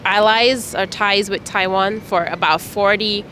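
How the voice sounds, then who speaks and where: A woman's voice: medium pitch, fairly even intonation, measured pace, pushed and bright. A young woman from Saint Vincent and the Grenadines, answering street interview questions in a friendly, hesitant way with many fillers. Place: city street outdoors. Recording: street noise. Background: traffic and street noise.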